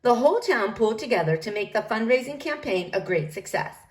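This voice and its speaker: A woman reading a children's book aloud, narrating in an expressive storytelling manner. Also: fairly high pitch, brisk. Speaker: storybook reader.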